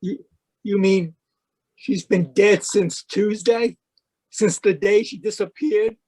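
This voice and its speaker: A woman's voice: medium pitch, slow, warm tone, breathy edge. A woman playing an anxious husband protesting his innocence in old-time radio mystery style. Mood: shaken. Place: live video call at home. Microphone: video call.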